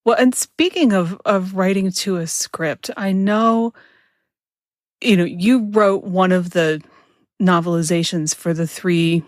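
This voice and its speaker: A woman with a fairly low voice hosts a casual interview. The recording is very dry, and she talks in a loose, conversational way. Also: takes it slowly, dry recording. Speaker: podcast host, interviewer.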